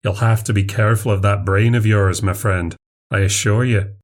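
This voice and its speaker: A man's voice: medium pitch, a little slow, natural voice. A man voicing a brusque, commonsensical Irish doctor in a storybook, bluff and mocking, with an Irish accent. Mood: dry, grim. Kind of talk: storybook character dialogue.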